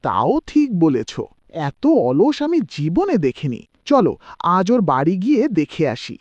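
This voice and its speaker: A woman's voice: sits low, huge pitch swings, unhurried, darkish tone, no echo, muffled recording, full character voice. A woman voicing a disapproving, gossipy village customer in a cartoon, incredulous and exclaiming. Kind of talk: cartoon voice acting.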